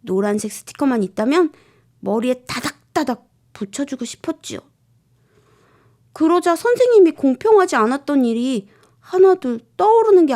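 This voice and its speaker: Woman voicing an aggrieved, very animated young schoolboy. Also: high-pitched, full character voice. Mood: sulky. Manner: earnest, plaintive, confiding. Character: indignant, aggrieved third-grade schoolboy narrating his story. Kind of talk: expressive children's storybook reading.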